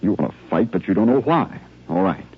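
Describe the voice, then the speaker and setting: Army lieutenant, 1950s radio drama: earnest, inspiring, highly animated delivery, husky edge. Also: male voice, full character voice. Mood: urgent, persuasive. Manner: earnest, rhetorical, speaking in measured short phrases. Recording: muffled old 1950s radio recording.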